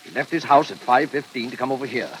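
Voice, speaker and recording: A man's voice: medium pitch, fast, a crowd in the background, natural voice. An actor playing a grave, well-mannered doctor and father in a proper, emotive 1940s radio drama style. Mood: shocked, grave. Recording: noisy, hissing old radio recording.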